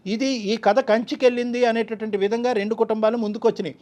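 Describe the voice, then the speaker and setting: A woman's voice: fairly high pitch, little pitch movement, quick pace, mellow. A woman counselor mediating in spontaneous talk, wordy and admonishing, pressing her points with rhetorical questions. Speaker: counselor mediating a couple's dispute.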